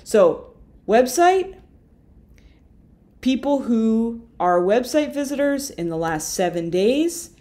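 Young woman doing a screen-share tutorial, talks slow and mellow, webcam in a small room. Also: on the high side. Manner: relaxed, explanatory, with fillers and self-corrections. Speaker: marketing tutor.

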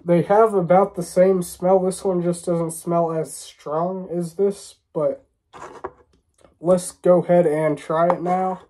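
Congested young American man, mellow voice. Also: sits high, flat delivery, slow. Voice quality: congested, stuffy-nosed. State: congested from a cold. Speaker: vlogger reviewing drinks. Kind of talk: casual review chat to camera. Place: small room indoors.

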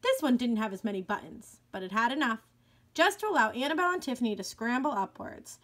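A woman reading a children's book aloud with clear, expressive storytelling. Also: fairly high pitch, quick pace. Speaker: elementary school educator.

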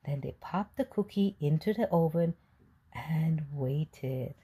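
A woman's voice: deep voice, takes it slowly, dark tone, slightly rough. Woman reading a children's story expressively. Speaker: read-aloud storyteller. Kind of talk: children's picture book narration.

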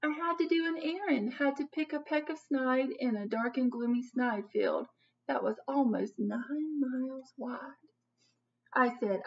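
A woman in her thirties reads a children's picture book aloud expressively and dramatically, like a teacher putting questions to young listeners. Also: sits high. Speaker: children's story reader. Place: small home office, indoors. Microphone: computer webcam at desk distance. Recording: dull webcam audio, distant from mic.

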